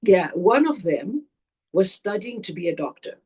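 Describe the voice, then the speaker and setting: Older woman, deliberate reflective guest with a breathy edge. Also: mid-range voice, sing-song, a little mellow, poor audio. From Zambia. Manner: slow, deliberate, with pauses and restarts. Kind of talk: reflective interview answer.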